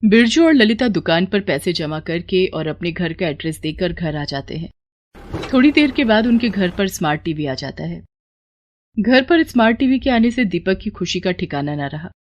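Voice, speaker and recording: A woman's voice: lowish voice, animated, moderate pace. A woman narrating a scripted moral story.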